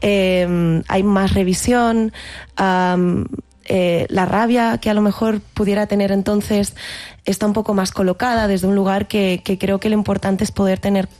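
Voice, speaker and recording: A woman in her thirties from Catalonia, an actress speaking as an interview guest, reflective and halting, with many restarts. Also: mid-range voice, little pitch movement, darkish tone. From Catalonia, Spain. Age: 30s. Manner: thoughtful, many restarts and fillers.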